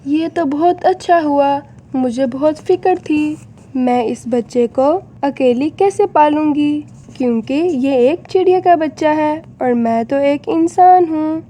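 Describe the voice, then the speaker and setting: A woman's voice: sits high, a little slow, dark tone. A woman voicing a kindly, caring human grandmother in a cartoon story. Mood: earnest. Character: kindly human grandmother raising a bird chick.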